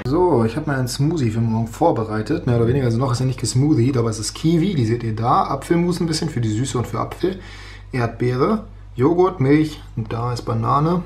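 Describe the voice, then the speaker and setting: A man's voice: medium pitch, brisk, darkish tone, slight background noise. A young man in his twenties, chatting casually in a weekly vlog with a slight cold, rambling and poking fun at himself, filmed on a camera held at arm's length. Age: 20s. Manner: chatty, self-mocking, rambling asides. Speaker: vlogger. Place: home kitchen and inside a car. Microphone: handheld camera at arm's length.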